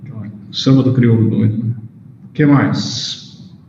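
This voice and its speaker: A man's voice: medium pitch, a little slow, vocal fry, warm tone. Brazilian man lecturing in an echoey hall. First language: Portuguese. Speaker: researcher giving a lecture. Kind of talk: informal lecture with questions and answers, switching between Portuguese and English. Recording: echoey hall, dull sound, faint noise.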